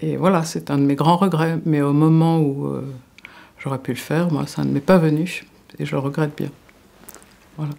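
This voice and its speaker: A woman's voice: sits low, steady tone, moderate pace, gravelly, warm tone. An older woman from Alsace, a former teacher, giving reflective interview answers, hesitant and often restarting as she searches for words. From Alsace, France.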